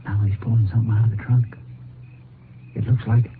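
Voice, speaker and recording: A man's voice: on the low side, flat delivery, fairly quick, natural voice. Folksy Texas sheriff played by a man, noisy old radio. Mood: hushed, puzzled. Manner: folksy, plainspoken. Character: plainspoken, folksy male small-town Texas sheriff. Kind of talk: 1950s radio crime drama acting. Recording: tinny, noisy old radio broadcast recording.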